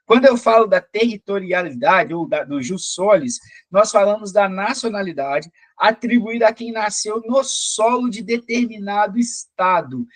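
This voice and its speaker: A man's voice: high-pitched, animated, moderate pace, no room sound. A man from Belo Horizonte with a Minas Gerais Brazilian accent, giving an explanatory exam-prep lecture in a didactic way with frequent check-in questions. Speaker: teacher, police or military sergeant.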